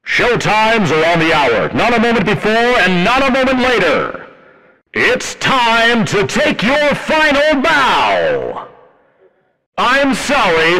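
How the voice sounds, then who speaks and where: A man's voice: high voice, bright, forceful tone, long reverb tail, heavily acted, low-quality recording. A man voicing a menacing robot showman fox in a game, with a theatrical delivery.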